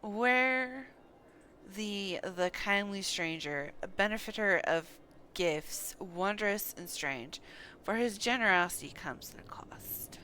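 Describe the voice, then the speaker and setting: Female game streamer, lively pitch, unhurried pace, reacting aloud over faint background noise. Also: low-quality recording. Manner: animated, expressive, reacting aloud to play. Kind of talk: live gameplay commentary, reading dialogue aloud.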